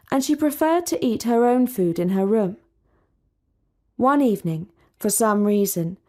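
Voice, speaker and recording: A woman narrating a storybook for English learners, reading in a clear, measured way. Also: on the high side, a little mellow.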